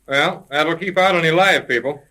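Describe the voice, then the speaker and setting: An actor playing a brash young detective's assistant in a 1930s radio drama, with casual, direct speech. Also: male voice, on the high side, normal speed, noisy background, natural voice. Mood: wary. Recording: vintage 1930s radio recording.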